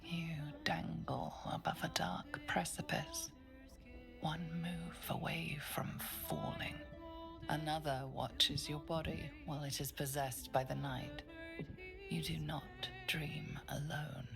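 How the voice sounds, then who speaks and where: Low, creaky, ominous female narrator. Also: steady tone, heavily acted. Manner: slow, deliberate, dramatic. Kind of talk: scripted game narration. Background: dramatic music.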